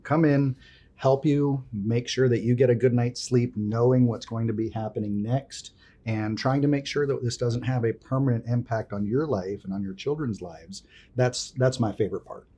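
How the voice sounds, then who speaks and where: Man, native Spanish speaker, from Miami, guest attorney, earnest steady talk, mid pitch. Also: moderate pace, slightly rough. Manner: earnest, steady, a few fillers. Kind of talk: casual interview answers.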